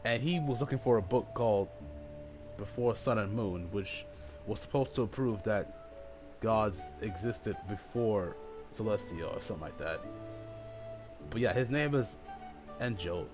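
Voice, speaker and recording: Male streamer, lower voice, rambling casual explainer; game music under him. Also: low-quality recording. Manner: halting, explanatory, many fillers. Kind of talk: casual gameplay commentary.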